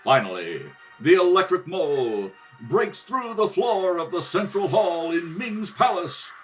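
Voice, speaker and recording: A man's voice: high-pitched, big pitch swings, measured pace, faint music behind. A man narrating an adventure radio serial in a theatrical, emphatic scripted style. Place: kitchen table at home.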